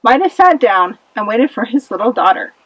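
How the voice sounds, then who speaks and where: High, lively woman storyteller. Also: normal speed, no echo, poor audio. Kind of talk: scripted children's story narration for a puppet show.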